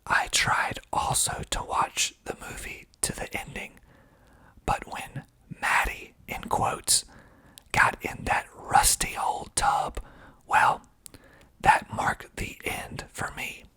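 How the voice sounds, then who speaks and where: A man whispering breathily in ASMR style into a close mic, reading aloud slowly and deliberately with dry asides. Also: sharp tone. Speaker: filmmaker and ASMR video host. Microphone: close mic with pop filter.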